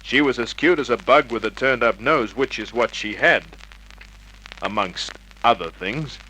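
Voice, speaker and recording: A man plays a hard-boiled private eye from old radio drama. He is cocky and slangy, with a bright, forceful mid-pitched voice and faint recording noise behind. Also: moderate pace, lightly acted, poor audio. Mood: wry narration. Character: cocky, wisecracking, flirtatious male private detective. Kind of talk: 1950s radio detective acting and narration.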